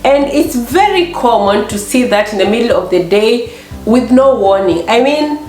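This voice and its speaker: A middle-aged woman with a Kenyan accent and a slightly hoarse voice, chatting casually as a vlog host and acting out little exchanges as she tells stories. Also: fairly high pitch, expressive, normal speed, darkish tone, soft background music. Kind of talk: casual chat to camera. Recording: echoey room.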